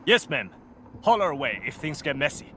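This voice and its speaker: Brisk soldier, swinging in pitch. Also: male voice, sing-song, fairly bright tone, slight background noise. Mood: dutiful. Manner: military, dutiful, barking reports and orders. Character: brisk, loyal male soldier, squad officer. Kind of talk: scripted video game voice acting.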